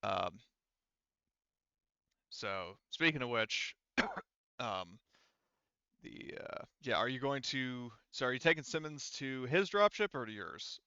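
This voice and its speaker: A man running a tabletop roleplaying game as game master, explaining things casually and haltingly with frequent restarts. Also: mid-range voice, expressive, sharp tone, clear, resonant tone, no room sound, highs cut off.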